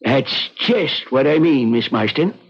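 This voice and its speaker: An actor playing a genial, patient old-timer in an American radio drama, with a folksy, courteous manner. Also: male voice, mid-range voice, lively intonation, slow pace, slightly rough. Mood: genial, coaxing. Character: genial, patient old-timer guiding two frightened women. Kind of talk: mid-century American radio drama acting. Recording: muffled old radio recording, crowd murmur.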